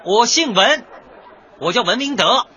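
Cocky young dj in a comedy radio play, high sharp voice, swings all over, argues and lectures. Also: male voice, expressive, measured pace, breathy edge, dull audio, a faint crowd, full character voice. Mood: indignant, lecturing. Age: young adult. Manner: brash, glib, protesting, lecturing. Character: cocky, argumentative young dyed-hair male DJ. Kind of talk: light comedy radio drama acting.

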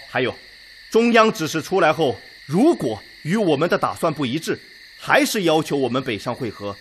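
An actor in a Chinese radio drama playing a steady, plain-spoken, authoritative Red Army commander with a deliberate manner. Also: male voice, on the high side, slight background noise.

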